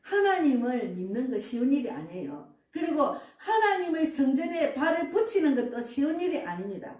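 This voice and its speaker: High-voiced woman in her sixties preaching. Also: very animated, normal speed, a little mellow. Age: 60s. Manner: earnest, emphatic preaching with frequent affirming asides. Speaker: pastor. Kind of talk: sermon. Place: church sanctuary. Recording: echoey church room, distant mic, faint music.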